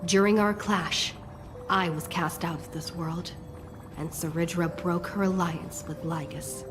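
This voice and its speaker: A woman voicing a solemn heroine who recounts a fantasy quest in measured, scripted video game narration. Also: on the low side, fairly even intonation, natural voice. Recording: long reverb under game music. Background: game music.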